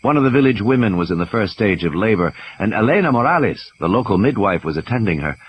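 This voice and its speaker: A man's voice: lowish voice, big pitch swings, fast talker, muffled recording. A man reading scripted dramatic narration as the storyteller of an audiobook. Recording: clear background hiss and noise.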